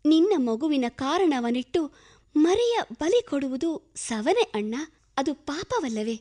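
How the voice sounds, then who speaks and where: A woman's voice: sits high, sing-song, unhurried, warm tone. An actress in a radio play playing a compassionate village woman, pleading in impassioned, poetic, old-fashioned literary speech. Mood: earnest pleading.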